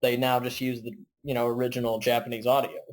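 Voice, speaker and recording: A man in a casual interview conversation, with a middling-pitched voice. He explains things in a halting, unscripted way, feeling out his phrases as he goes. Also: little pitch movement.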